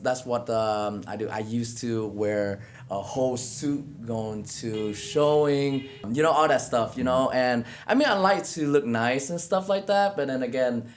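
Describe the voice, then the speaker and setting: Man, Vietnamese accent, native Vietnamese speaker, mid-range voice, earnest, halting and repetitive, casual podcast guest. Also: mid-range voice, slight background noise. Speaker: interview guest, real estate agent.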